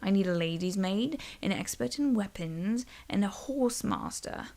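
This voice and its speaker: A woman voicing a spoiled, excitable young princess in an audio drama, with a demanding, impulsive manner. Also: mid-range voice, measured pace, creaky. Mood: brisk, determined. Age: young adult.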